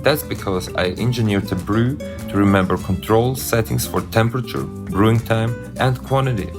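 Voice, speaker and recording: A man reads a polished sponsor spot with a smooth, selling delivery. His voice sits a little low. Clear guitar music plays under him throughout. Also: normal speed. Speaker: inventor in a sponsor ad. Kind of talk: scripted ad read.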